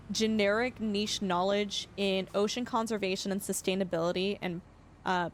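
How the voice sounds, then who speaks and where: A young woman streaming a farming game, chatting casually and explaining facts with lots of fillers, her voice slightly hoarse. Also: medium pitch. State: voice going hoarse. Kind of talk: casual live-stream chat.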